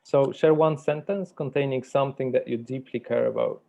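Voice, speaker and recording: Creaky, mellow man guiding a workshop. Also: mid-range voice, steady tone. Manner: measured, deliberate phrasing. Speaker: workshop facilitator. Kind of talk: guided meditation and workshop facilitation. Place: virtual workshop over video call.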